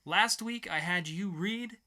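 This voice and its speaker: A man's voice: high voice, slow pace, pushed and bright. A man hosting a podcast segment in a casual, conversational style, sometimes swearing. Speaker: podcast host.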